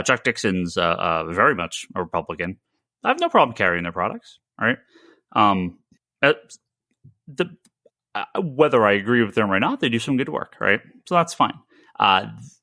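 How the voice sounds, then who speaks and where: A man with a fairly low, very bright and forward voice, recorded close and dry. He talks like a podcast host giving casual commentary. His delivery is halting, with stammered starts and repeated words. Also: dry recording. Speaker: podcast host and comic shop owner.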